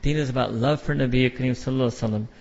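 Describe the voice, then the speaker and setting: A man's voice: medium pitch, fairly even intonation, rapid-fire, a little mellow. A man preaching a sermon from the front of a large hall, passionate and full of rhetorical questions. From Pakistan or India. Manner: impassioned, rhetorical questions, mixes Urdu and Arabic phrases. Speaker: Islamic preacher. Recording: dull lo-fi sound with long hall reverb.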